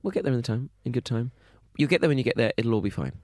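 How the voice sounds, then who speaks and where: A man's voice: medium pitch, expressive, rapid-fire, darkish tone, no room sound, studio quality. A young man co-hosting a podcast, telling stories in casual chat, with camp, chatty put-on quotes. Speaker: podcast co-host.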